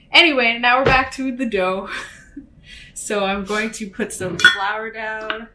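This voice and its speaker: A woman's voice: medium pitch, sharp tone, slight background noise. A young woman chatting casually while she cooks, laughing often and using lots of fillers. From Canada. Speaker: author and vlogger. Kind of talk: casual chat while cooking.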